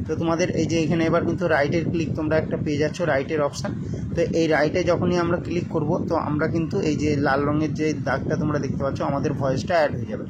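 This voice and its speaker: Fast-talking man over loud background noise. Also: fairly high pitch. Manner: repetitive instructional talk addressing viewers as friends. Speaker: tutorial host. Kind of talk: spoken step-by-step phone app tutorial.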